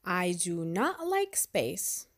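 A woman voicing a curious, excitable young girl from a picture book, eager and exclamatory. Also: fairly low pitch, drawn-out words, pitched below the performer's own voice, heavily acted. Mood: firm, eager.

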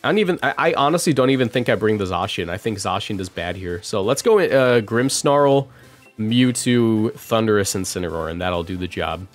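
A man in his twenties giving casual live game commentary, chatting and thinking out loud as he plays. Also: on the low side, expressive, moderate pace, soft background music. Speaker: streamer.

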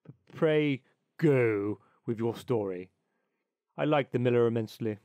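A self-important water rat from a storybook, played by a man reader, holding forth in a lowered voice. He is opinionated and pompous, a creature sure that he knows best. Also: measured pace, warm tone, no echo, natural voice. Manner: pompous, know-it-all.

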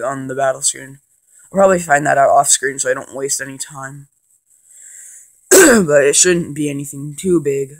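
A man with a cold and a congested voice, rambling casually with lots of ums and excited bursts as he plays and narrates a handheld game. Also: fairly high pitch. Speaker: gaming channel host. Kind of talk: casual let's play commentary.

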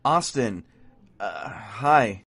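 A man's voice: on the low side, animated, drawn-out words, creaky, a bit bright, breathy edge, exaggerated voice. A man playing an evasive, flustered college student in an audio drama. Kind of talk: podcast audio drama acting.

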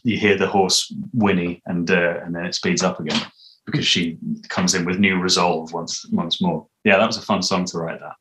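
A man in his thirties with a low-pitched voice. He explains his creative work in a casual, hesitant, thoughtful way, speaking over a video call from home. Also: fairly even intonation, moderate pace, fairly bright tone, rough recording. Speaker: audio drama composer, interviewee. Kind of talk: casual interview conversation. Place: small home room, indoors. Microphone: video call, mic at a distance.

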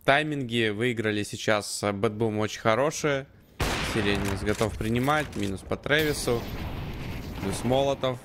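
A man co-commentating on an esports match, giving measured tactical analysis in short, broken phrases. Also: mid-range voice, noisy background. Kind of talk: live analytical Counter-Strike commentary.

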